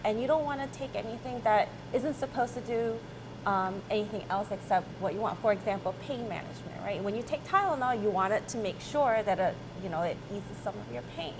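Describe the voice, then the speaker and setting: A woman's voice: medium pitch, brisk, noisy background. A woman in her thirties with an American accent, answering interview questions conversationally as a company chief, informally checking in with "right?". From born in South Korea, grew up in Michigan, USA.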